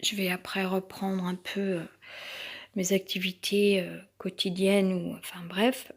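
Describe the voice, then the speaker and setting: A woman's voice: medium pitch, level tone, measured pace. A woman with a French accent who has a cold, giving a rambling personal monologue as a vlogger, tense and hesitant, with many fillers and restarts.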